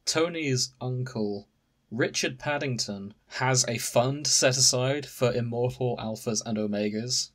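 A man's voice: mid-range voice, a little slow, fairly bright tone. A man podcast host casually recounting a story to friends in an amused, wry manner. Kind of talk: casual chat, recounting fan fiction.

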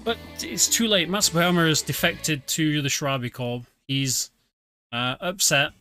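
A man's voice: on the high side, a little slow, bright, forceful tone. A man casually commentating a video game stream, explaining the story as he plays. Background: game music.

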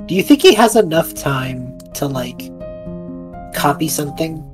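A woman's voice: deep voice, big pitch swings, unhurried, a little mellow, soft background music. A woman casually narrating her character's actions as a player in a tabletop game.

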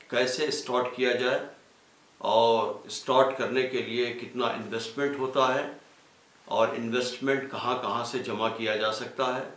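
A man makes a formal appeal, speaking deliberately phrase by phrase. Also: medium pitch, a bit bright. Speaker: representative of a religious organisation. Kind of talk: formal spoken announcement and appeal. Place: small indoor studio with green screen. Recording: echoey room.

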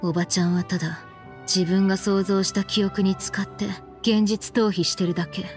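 An actress playing a stern, frank young woman in an anime game, speaking in a firm, reproachful, deliberate way. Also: mid-range voice, flat delivery, a little mellow, soft background music, close to an everyday voice. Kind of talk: anime game voice acting, dramatic dialogue.

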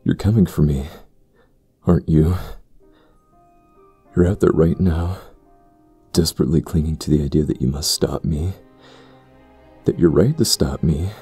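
An ominous fantasy ritualist, extremely deep and very husky. He speaks solemnly and darkly, brooding in a mellow gravelly rumble over faint music. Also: unhurried, long pauses, full character voice. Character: ominous brooding male ritualist addressing his estranged sister. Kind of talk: dramatic fantasy audio roleplay monologue.

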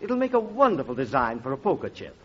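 A man's voice: mid-range voice, huge pitch swings, fast. A man playing a fussy, obliging elderly antique shop clerk, courteous and fluttery, in 1940s radio comedy. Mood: polite fussy. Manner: courteous, fluttery, easily distracted. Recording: muffled, noisy 1940s radio recording.

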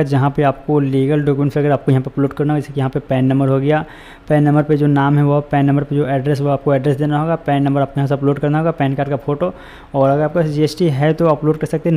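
A man's voice: a little high, mellow. A man narrating a screen-recorded walkthrough, giving repetitive step-by-step instructions as a voice-over. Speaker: tutorial host.

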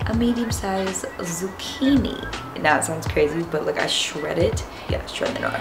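A young woman with a slightly raspy, fairly low voice chats to a handheld camera. She rambles casually, full of fillers and restarts. Music plays clearly behind her. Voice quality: raspy morning voice. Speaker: fitness vlogger. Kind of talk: casual vlog chat. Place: balcony, then kitchen and backyard. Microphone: handheld camera close up.

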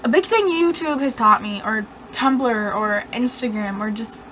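A woman's voice: a little high, a little slow, a bit bright, slight background noise, poor audio. A young woman vlogging from her bedroom, rambling in a sarcastic, self-mocking way. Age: young adult. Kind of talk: casual chat to camera. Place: dim bedroom, indoors.

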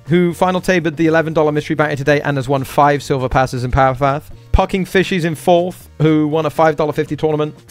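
A man hosting a poker stream, talking through each hand aloud and repeating short catchphrases. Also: a little high. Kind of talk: live poker stream commentary.

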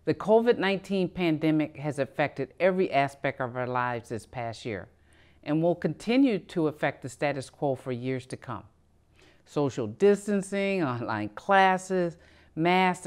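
A middle-aged woman reading a formal commencement address from a podium in a measured way. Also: low voice, lively intonation, darkish tone. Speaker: college president giving commencement address. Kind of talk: formal prepared speech. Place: studio in front of a backdrop.

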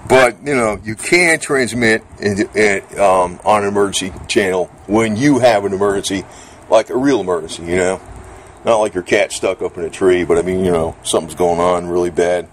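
A middle-aged man with a fairly low voice gives an off-the-cuff product review. He rambles in plain, salty language, as if thinking aloud. There is clear background noise behind him. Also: moderate pace. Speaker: vlogger reviewing gear. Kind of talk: casual, unscripted product chat.

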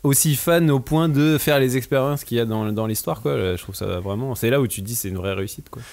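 A man's voice: medium pitch, a little fast, gravelly, a little mellow. A man co-hosting a podcast, talking in long, rambling stretches full of fillers. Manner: rambling, chatty, lots of "tu vois". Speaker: podcast co-host. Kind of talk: casual podcast discussion.

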